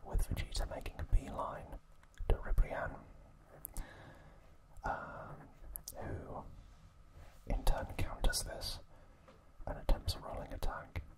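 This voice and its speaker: Slow, creaky ASMR whisper. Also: rough recording. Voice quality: breathy unvoiced whisper. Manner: slow, hushed, unhurried delivery. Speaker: ASMR host recapping an anime series. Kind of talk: whispered ASMR trivia narration.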